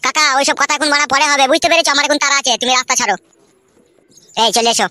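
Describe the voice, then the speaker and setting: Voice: sits high, fairly even intonation, very fast, natural voice. A performer voicing a cheeky, impatient young village man in a Bengali comedy cartoon, with brash, exasperated back-talk. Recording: music behind the voice.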